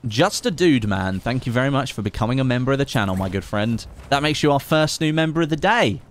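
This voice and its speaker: A man's voice: medium pitch, lively intonation, fast. A man, chatting casually on a live game stream, reading chat aloud and making sarcastic asides. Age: late 20s.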